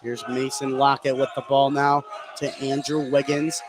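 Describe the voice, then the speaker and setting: A man's voice: medium pitch, monotone, normal speed, crowd noise. A man working as a play-by-play announcer, calling a live basketball game in clipped, present-tense phrases that follow the action. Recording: clear gym noise behind the commentary. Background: gymnasium game ambience.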